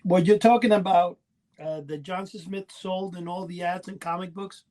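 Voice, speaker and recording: A man's voice: high voice, steady tone, moderate pace, a little mellow. A middle-aged man chatting casually as a podcast co-host, explaining in a rambling way and correcting himself as he goes.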